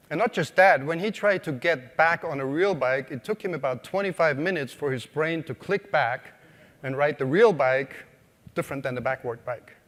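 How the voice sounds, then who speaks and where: A man's voice: a little high, long reverb tail. A man with an Austrian accent giving a conversational keynote to a live audience, asking questions and using many fillers. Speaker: conference speaker. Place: conference hall.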